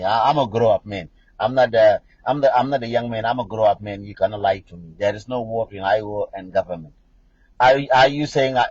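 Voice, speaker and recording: A man's voice: fairly low pitch, a little mellow, breathy edge, no echo. A middle-aged man from South Sudan with a South Sudanese accent, whose first language is Nuer, talks insistently and repetitively as he answers viewer comments on a livestream. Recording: dull lo-fi sound.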